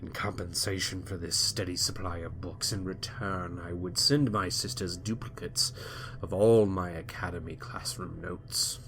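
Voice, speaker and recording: A man giving a slow, theatrical first-person reading of his character's backstory for a tabletop game. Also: deep voice, steady tone, a bit bright, slight background noise. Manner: slow, theatrical, savoring each phrase. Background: background music.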